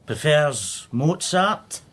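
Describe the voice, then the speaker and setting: Husky wheedling brother, teasing, voice swoops around, bit high, radio drama. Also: male voice, big pitch swings, drawn-out words, fairly bright tone, heavily acted. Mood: cheeky, nosy. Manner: teasing, pleading, chuckling. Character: cheeky, wheedling brother back from London in trouble.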